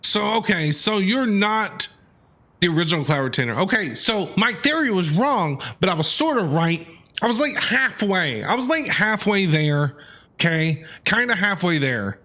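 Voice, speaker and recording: A game streamer reacting live to a story, excitable and talking back to the characters. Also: medium pitch, normal speed. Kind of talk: livestream reaction commentary.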